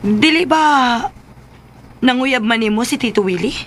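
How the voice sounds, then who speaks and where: Radio drama curious teasing daughter, slightly high voice, clear background noise. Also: female voice, unhurried, a bit bright. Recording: noisy background.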